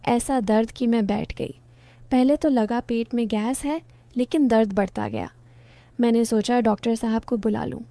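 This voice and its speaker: A woman narrating a first-person story in a steady storytelling tone. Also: fairly high pitch, dry recording.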